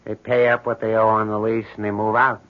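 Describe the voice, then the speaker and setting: An actor playing a gloomy, gossipy apartment superintendent in an old radio drama, laconic, folksy and matter-of-fact. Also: male voice, lowish voice, little pitch movement, brisk, lightly acted. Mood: knowing. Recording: muffled old radio recording.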